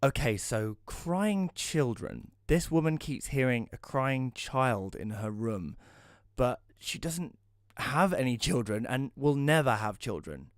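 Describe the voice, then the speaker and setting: A man playing a hesitant magazine writer who tells his own story in an audio drama, in a conversational, slightly halting way. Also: medium pitch, sing-song, no echo, clean studio sound. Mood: relieved. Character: Rory, male magazine writer, hesitant, self-doubting narrator. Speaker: narrator and lead character. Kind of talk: first-person audio drama narration with dialogue.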